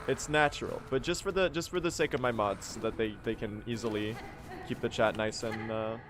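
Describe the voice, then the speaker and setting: A man's voice: mid-range voice, brisk, music in the background. A man from Sweden, a native Swedish speaker, chatting casually with his viewers while he plays, rambling and correcting himself as he goes. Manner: rambling, self-correcting, chatty, mild swearing. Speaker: speedrunning streamer. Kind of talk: casual livestream chat while playing.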